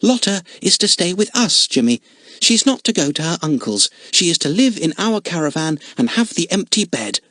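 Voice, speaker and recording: A man's voice: fairly high pitch, big pitch swings, moderate pace, dry recording, voice pushed up. A man voicing a kindly motherly woman in a storybook reading. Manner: gentle and kindly. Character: warm motherly woman running a caravan home.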